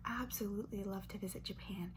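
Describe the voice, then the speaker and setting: A woman in her twenties from Brazil, whispering an ASMR question-and-answer chat close to the mic and softly repeating words over and over as triggers. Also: medium pitch, fairly even intonation, quick pace. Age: 20s. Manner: chatty, filler-heavy, with light laughs. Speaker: ASMR creator. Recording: noisy hum under voice.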